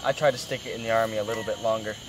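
A man's voice: lowish voice, fairly even intonation, racing, over music, lightly acted. A young man acting a weary, uneasy army veteran in a western film, recorded outdoors. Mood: reflective. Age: young adult. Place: outdoors in woods. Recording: noisy outdoor location sound with insects.

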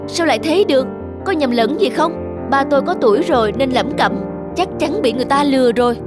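A grown son, agitated and hasty, voiced by a woman quick and indignant. Music runs clearly under him in this cartoon. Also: high voice, lively intonation, pitched above the performer's own voice. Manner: fast, indignant.

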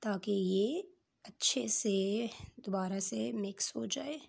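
A woman's voice: mid-range voice, slow, gravelly, darkish tone, husky. A woman with a Pakistani Urdu accent hosting a cooking channel, explaining each step of a recipe patiently and with frequent fillers.

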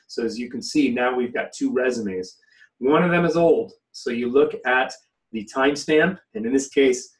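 A man giving an informal, step-by-step software tutorial as an instructor. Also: medium pitch. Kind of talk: informal step-by-step screen-share walkthrough. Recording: voice somewhat distant from the mic.

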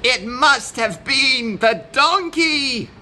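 Patient, sensible goat played by a woman, bright and measured, for a storybook. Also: medium pitch, very animated, pitched above the performer's own voice, exaggerated voice. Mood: accusing. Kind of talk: children's storybook character voice.

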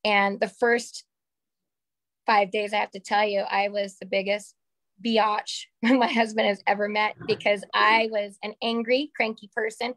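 A woman in her forties with a slightly raspy voice, sharing a candid personal story on a video call from a small room at home. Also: mid-range voice, level tone, unhurried, a bit bright, dry recording, poor audio. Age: 40s. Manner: candid, chatty, gestures with her hands. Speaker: call participant. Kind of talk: casual personal testimonial. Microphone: webcam on a video call.

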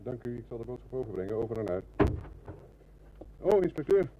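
A man's voice: medium pitch, sing-song, a little fast, narrow, tinny audio, close to an everyday voice. An actor playing a dutiful policeman relaying messages in a radio drama. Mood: dutiful, businesslike. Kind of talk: Dutch radio drama acting. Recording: very noisy old radio recording.

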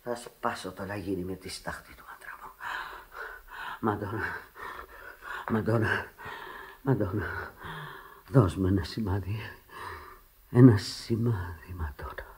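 An actress playing a desperate, passionate Sicilian widow in Greek radio theatre, pleading and defiant. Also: female voice, pauses often, a little mellow. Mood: broken, praying. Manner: pleading, defiant, highly dramatic. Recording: echoey old radio play, crowd noise.

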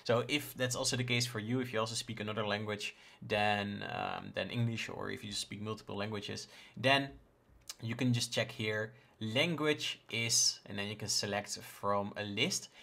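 A man in his thirties from the Netherlands, a native Dutch speaker with a Dutch accent, casually presenting a software tutorial. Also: lowish voice, normal speed, creaky. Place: indoors in a home room, screen recording with webcam.

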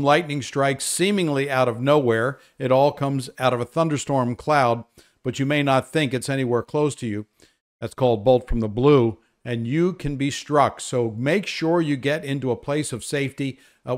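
A man presenting a live, unscripted weather briefing, explaining things in a conversational way. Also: medium pitch.

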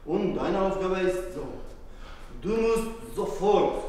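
Guy doing a slow spooky dad ghost, bossy, big echoey hall. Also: sits high, animated, mellow, recorded from a distance, slight background noise, voice pushed up. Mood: commanding. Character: ghost of a murdered father, solemn. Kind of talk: amateur stage acting. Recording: echoey hall, faint music.

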